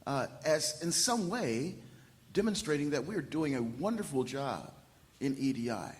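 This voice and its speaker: A man speaking loudly and emphatically from the audience during a panel discussion. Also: a little high, very animated, unhurried. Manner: loud, emphatic. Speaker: audience member. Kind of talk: public comment at a panel. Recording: long hall reverb.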